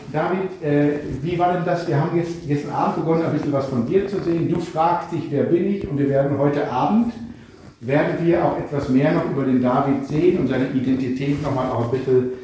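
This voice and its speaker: A man's voice: a little high, brisk, mellow. A male preacher giving a reflective sermon, putting many questions to his listeners. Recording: echoey room, speaker far from mic, faint noise.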